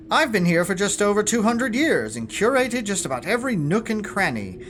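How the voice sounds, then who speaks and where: A man acts as an ancient, fussy museum curator in a fantasy audio drama. He sounds put-upon and exasperated, his mid-pitched voice swinging up and down over clear background noise. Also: close to an everyday voice.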